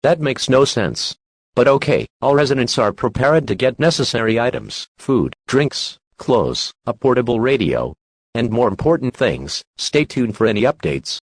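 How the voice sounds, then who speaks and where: A man's voice: mid-range voice, big pitch swings, normal speed, husky, no echo. Dry-sounding male emergency alert announcer. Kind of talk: scripted emergency alert narration.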